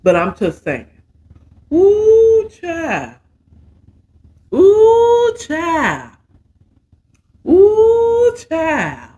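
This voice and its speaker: Sassy American man, unhurried, dramatic storyteller. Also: high-pitched, a little mellow. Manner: dramatic, sassy, drawn-out exclamations and asides. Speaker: host of a story recap show. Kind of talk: casual animated retelling of a romance story.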